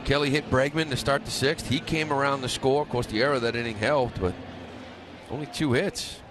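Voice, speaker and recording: A man's voice: medium pitch, fairly even intonation, fairly quick. A man giving conversational color analysis during a live radio baseball broadcast. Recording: clear ambient noise on live broadcast. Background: ballpark ambience.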